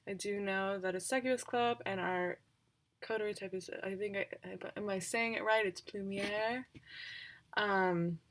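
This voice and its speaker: A woman's voice: mid-range voice, steady tone, measured pace. A woman giving a casual, unscripted recap as a tabletop roleplaying player, informal and a little hesitant, correcting herself as she goes.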